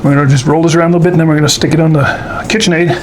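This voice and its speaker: A man's voice: on the high side, little pitch movement, very fast, a crowd in the background. A man hosting a casual cooking demonstration at home, talking in a folksy way with joking asides. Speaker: show host. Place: indoors at home kitchen.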